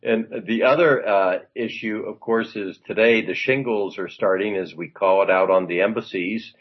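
A man's voice: on the low side, moderate pace, breathy edge, lo-fi sound. A man who served in Congress, chatting about the news on a talk radio show in a rambling way, full of fillers and asides. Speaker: former congressman, political commentator. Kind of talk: political talk radio chat.

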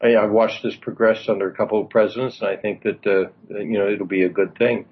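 A man's voice: lowish voice, flat delivery, brisk, muffled recording, slight background noise. A man who served in Congress, chatting about the news on a talk radio show in a rambling way, full of fillers and asides. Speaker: former congressman, political commentator. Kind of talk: political talk radio chat.

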